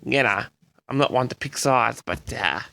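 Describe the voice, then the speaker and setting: A man's voice: medium pitch, normal speed, gravelly, bright, forceful tone. A man hosting a personal audio log, rambling casually and hesitantly, often repeating himself as he addresses his listeners. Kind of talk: rambling casual monologue to audience.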